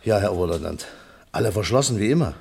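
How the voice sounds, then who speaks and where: A sombre warehouse manager in a 1960s radio crime play. His voice is deep and husky, and he answers in a subdued, deferential way, like a witness being questioned. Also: expressive, fast talker, a little mellow, exaggerated voice. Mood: cooperative, matter-of-fact. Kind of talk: 1960s German radio crime drama acting.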